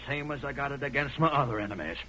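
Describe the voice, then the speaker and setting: An actor playing a crooked, threatening Western banker in old radio style, with an American accent and curt, folksy speech. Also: male voice, mid-range voice, fast, pushed and bright, lightly acted. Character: menacing crooked Western banker, scheming town boss. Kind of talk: 1930s-40s radio Western acting. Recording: noisy vintage radio drama recording.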